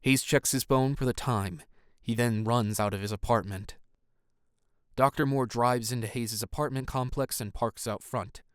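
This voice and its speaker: A man's voice: medium pitch, fairly even intonation, moderate pace, smooth, no room sound, studio quality, lightly acted. A man narrating an audio drama, reading scripted scene action in the present tense.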